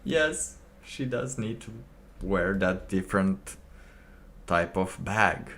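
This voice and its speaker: A man streaming alone, reacting to a clip with slow, musing casual commentary and laughing to himself. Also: low voice, animated, a bit bright.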